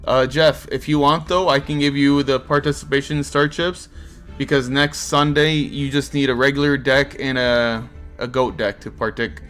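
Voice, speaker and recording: Male streamer, Portuguese accent, laid-back chatty host, moderate pitch, faint background music. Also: steady tone, moderate pace, fairly bright tone. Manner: easygoing, chatty, reacts to chat. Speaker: streamer hosting a card game tournament. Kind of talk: casual live-stream chat reading viewer comments.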